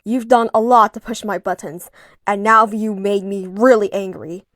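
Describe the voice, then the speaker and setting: A woman's voice: mid-range voice, fairly even intonation, normal speed, fairly bright tone, no room sound, clean studio sound, lightly acted. A synthetic female voice playing an angry, menacing young killer with stilted, threatening delivery. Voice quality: synthetic text-to-speech voice.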